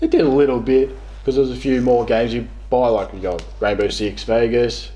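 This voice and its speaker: A man's voice: medium pitch, brisk, warm tone. A man in his thirties with an Australian accent, chatting casually as an interview guest. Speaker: guest, game reviewer. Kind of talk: casual chat about video games. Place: small living room, on a couch.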